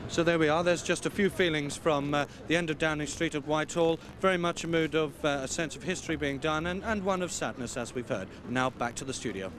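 A man working as a field reporter, asking brisk, slightly stumbling questions in a live street interview. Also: fairly high pitch. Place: busy city street at night, outdoors. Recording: outdoor traffic noise.

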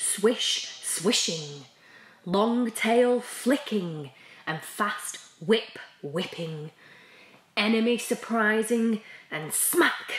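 Woman reading a kids book very very slowly, pauses between phrases, roomy echo. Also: mid-range voice, fairly bright tone. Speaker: school staff reader. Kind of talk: children's picture book read-aloud. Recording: roomy, echoey sound.

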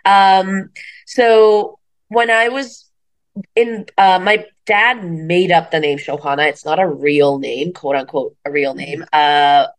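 Low-pitched woman from Bangladesh, chatty storyteller. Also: steady tone, slow pace. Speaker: comedian, interview guest. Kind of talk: casual conversational interview.

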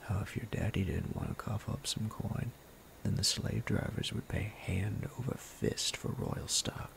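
A man voicing a sadistic male bandit captor in an ASMR roleplay, low and gravelly, often whispering in a menacing, playful way. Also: steady tone, measured pace, a little hiss or hum. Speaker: voice actor.

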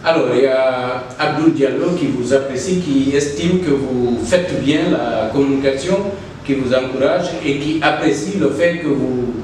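A man's voice: mid-range voice, a little slow, darkish tone, very echoey. Male interviewer, hesitant reader, distant mic, faint noise behind. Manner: reads out questions, hesitant. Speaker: interviewer reading viewer questions. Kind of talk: interview questions.

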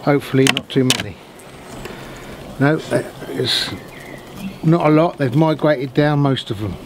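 A man's voice: medium pitch, a little slow. A middle-aged man casually explaining hands-on beekeeping work as he does it. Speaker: beekeeper demonstrating his work. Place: outdoors in a wooded apiary. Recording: clear outdoor noise, insects and birds. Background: buzzing bees and birdsong.

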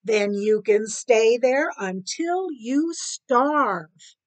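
A woman voicing a hungry, vain and menacing female cat in a folktale, with drawn-out, demanding, emphatic delivery. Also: sits high, lively intonation, pitched above the performer's own voice. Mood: angry, threatening. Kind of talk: oral folktale character voice.

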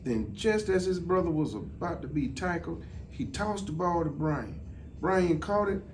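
A middle-aged man reads a children's storybook aloud in a measured, deliberate way. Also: on the high side, lively intonation, a little mellow. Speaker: deputy fire chief. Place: indoor office, seated. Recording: distant mic, some background noise.

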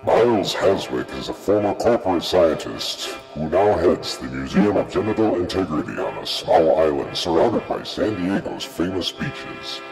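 A low-pitched man narrates dramatically from a script. His voice rings with long reverb over a music bed. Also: lively intonation, normal speed, few pauses.

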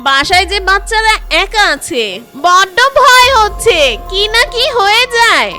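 A woman voicing a small, clever, caring mother bird in a cartoon story, earnest and expressive. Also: extremely high pitch, measured pace, soft background music, heavily acted. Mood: earnest, worried.

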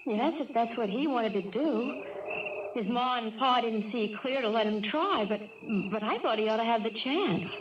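A woman's voice: a little high, lightly acted. A woman acting a sweet, hesitant small-town country girl with a Southern US accent in a 1970s radio drama. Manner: folksy, halting. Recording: dull, noisy 1970s radio recording.

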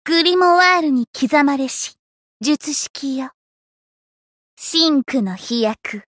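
A woman's voice: sits high, animated, slow, fairly bright tone, no echo, studio quality, exaggerated voice. A woman voicing a theatrical gothic teenage idol girl from an anime game, with grandiose, dramatic delivery and a shy, sweet side. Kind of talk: anime game character voice lines.

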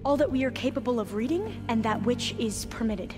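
A woman's voice: a little high, expressive, a little fast, over music, natural voice. An actress playing an earnest, reverent young woman scholar in a video game, speaking formally and carefully. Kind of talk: video game dramatic acting.

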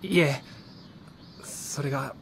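An actor playing a polite, businesslike, reassuring police officer in a Japanese radio drama. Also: male voice, mid-range voice, slow, fairly bright tone, slight background noise.

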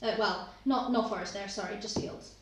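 A woman running a tabletop game at a home dining table, talking with many ums and pauses. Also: medium pitch, fairly bright tone. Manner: hesitant, with frequent ums. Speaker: game master. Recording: echoey room, noisy, from far off.

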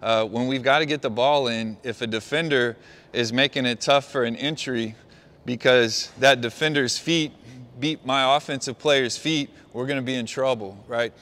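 Bright-voiced man coaching in a gym. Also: medium pitch, moderate pace. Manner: talks players through a walk-through, calls out praise. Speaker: basketball coach. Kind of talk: on-court coaching demonstration. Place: large indoor gym.

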